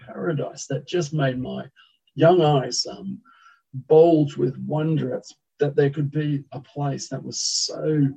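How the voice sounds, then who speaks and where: A man who grew up in Thailand preaches a sermon in a relaxed, conversational way, often pausing and restarting. His voice is gravelly and husky, and it sits a little higher than most men's. The recording sounds dull and lo-fi. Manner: conversational, hesitant with frequent ums. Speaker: pastor. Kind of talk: sermon with opening prayer.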